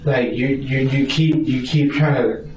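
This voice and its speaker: Echoey, distant man, halting and rambling. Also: mid-range voice, steady tone, a little mellow. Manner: rambling, repetitive, halting with stammered restarts. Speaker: suspect being questioned by police. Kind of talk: police interrogation answers. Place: indoor police interview room. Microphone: distant room recording microphone. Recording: distant, echoey, muffled noisy interrogation recording.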